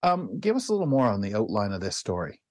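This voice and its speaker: A man's voice: on the low side, very animated, fast, dry recording, studio quality. A man co-hosting a radio show, chatting casually on air with rambling, hesitant phrasing.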